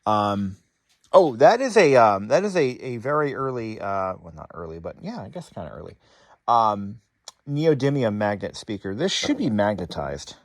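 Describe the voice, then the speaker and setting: A man's voice: fairly low pitch, big pitch swings, moderate pace, no room sound, clean studio sound. A man hosting a hobby repair channel, talking casually to viewers in a rambling, wry way with sarcastic asides.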